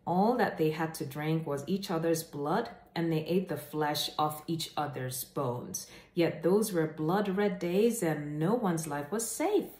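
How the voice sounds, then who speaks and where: A woman hosting a children's read-aloud, telling stories to the viewer in an expressive, deliberate and emphatic way. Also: low voice. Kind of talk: animated read-aloud storytelling to camera. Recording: echoey room.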